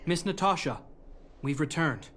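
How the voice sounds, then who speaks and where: A man's voice: on the high side, animated, measured pace, fairly bright tone, slight background noise. A man voicing an earnest, dutiful young guard captain with a formal, composed manner in a video game. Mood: businesslike. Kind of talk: voiced game story dialogue.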